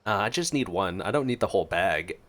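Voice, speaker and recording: A man's voice: deep voice, animated, fairly quick, fairly bright tone. A man doing casual gameplay reaction commentary as a streamer, playful and joking as he reads and responds to dialogue. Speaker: gaming streamer. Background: game music.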